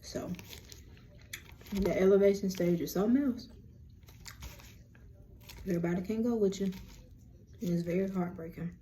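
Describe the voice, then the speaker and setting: Chatty young woman with a deep voice. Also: moderate pace, pauses often, dark tone, slight background noise, poor audio. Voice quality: low, deep voice. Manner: chatty, rambling, self-described yapper. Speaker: vlog host. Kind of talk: casual lunchtime chat.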